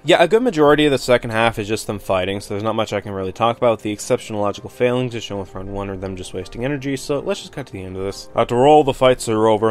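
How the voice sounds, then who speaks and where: A man hosting a review series, giving sarcastic scripted commentary with mock disbelief. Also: medium pitch. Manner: sarcastic, mock-incredulous, swears freely.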